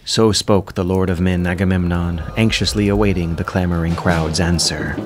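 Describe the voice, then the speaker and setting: Deep-voiced solemn male narrator over music. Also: steady tone. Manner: measured, solemn. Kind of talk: dramatic audiobook narration.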